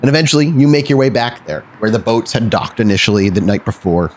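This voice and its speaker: A man's voice: fairly low pitch, lively intonation, quick pace, slight background noise. A man working as game master, narrating a tabletop horror roleplay game in improvised second-person storytelling.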